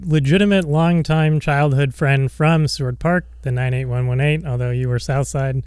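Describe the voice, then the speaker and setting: A man's voice: medium pitch, steady tone, normal speed, darkish tone, clean tone, no room sound, studio quality, soft background music. A young man hosting a casual podcast interview, rambling with fillers and restarts. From Alaska, raised in Seattle. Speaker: podcast host.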